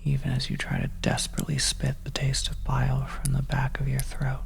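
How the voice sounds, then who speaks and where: A soft, breathy whispering storyteller narrating scripted second-person horror ASMR in a hushed, deliberate way with drawn-out phrasing. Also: mid-range voice, huge pitch swings.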